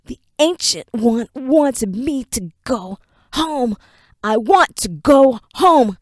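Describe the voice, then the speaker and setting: A woman voicing a frightened young man held captive, crying out in high, strained pleas. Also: animated, takes it slowly, no echo, clean studio sound. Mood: pleading.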